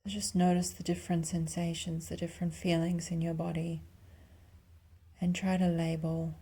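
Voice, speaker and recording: A woman leading a guided meditation softly and calmly, with long pauses and a breathy, near-whispered delivery. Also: lowish voice. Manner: soft, calm, unhurried instruction with long pauses. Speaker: clinical psychologist guiding a meditation.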